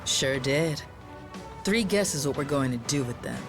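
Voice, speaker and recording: A confident, brash pirate strategist from a video game cutscene. His voice sits a little high for a man and he talks like someone in command, with music playing behind him. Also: expressive, measured pace. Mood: brash, assured.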